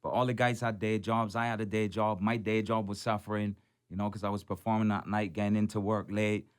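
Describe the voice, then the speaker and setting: Barbadian man, low smooth voice. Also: little pitch movement, a little fast. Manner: warm upbeat storytelling, says "man" and "blessed" often. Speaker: soca artist guest. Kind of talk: radio interview.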